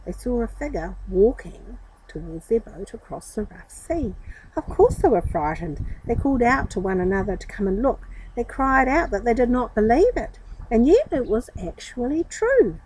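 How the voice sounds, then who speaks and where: A woman's voice: fairly high pitch, very animated, moderate pace, a little mellow, slight background noise, poor audio. A woman reading a Bible story aloud to children, dramatically and addressing them directly.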